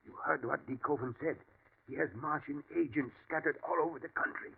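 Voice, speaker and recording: An actor playing an elderly professor held captive, giving measured, commanding instructions in a 1940s radio drama. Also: male voice, on the high side, gravelly, breathy edge. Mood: urgent. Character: old male professor held prisoner, urgent. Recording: tinny old radio broadcast.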